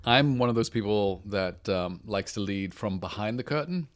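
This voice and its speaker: Suave British man, low voice. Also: expressive, moderate pace. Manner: dry, suave, hesitant with many ums. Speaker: guest. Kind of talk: casual podcast chat.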